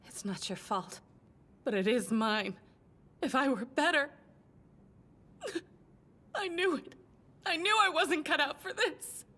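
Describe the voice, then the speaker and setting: A distraught young woman lawyer from an anime, with a high, bright voice. She speaks slowly, fragile and full of self-reproach. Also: full character voice. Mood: distraught, self-blaming. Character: distraught young female rookie lawyer blaming herself. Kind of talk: dramatic anime dub acting.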